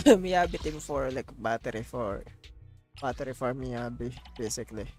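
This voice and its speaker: A man's voice: mid-range voice, lively intonation, normal speed. A man livestreaming a game, chatting casually in a rambling, repetitive, slangy way. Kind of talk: casual livestream chat over gameplay. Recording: loud game music behind. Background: game music and audio.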